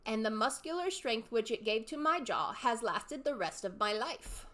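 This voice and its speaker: A woman's voice: fairly high pitch, expressive, moderate pace, a bit bright. A woman voicing a boastful, testy old father who recites comic verse in a storybook reading. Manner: reciting verse, self-satisfied. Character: old grey-haired father, boastful, eccentric, testy sage.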